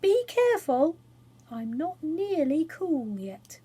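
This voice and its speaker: A woman's voice: high voice, very animated, slow, mellow, voice pushed up, exaggerated voice. A woman voicing a proud, grave magical bird in a children's storybook, speaking in a stately way. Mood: cautioning. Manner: grave, stately, self-important.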